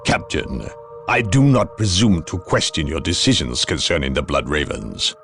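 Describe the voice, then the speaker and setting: A man's voice: rumbling low, lively intonation, normal speed, vocal fry, exaggerated voice. An actor playing a haughty, severe inquisitor in a game cutscene, formal and imperious in his delivery. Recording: loud music behind.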